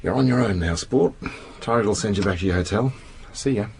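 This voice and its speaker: A man's voice: on the low side, a little fast, gravelly, a little mellow, slight background noise. An actor in a radio drama playing a crude, blustering, middle-aged Australian intelligence man who likes his drink and talks in slang. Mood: businesslike, confiding. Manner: brash, slangy, opinionated.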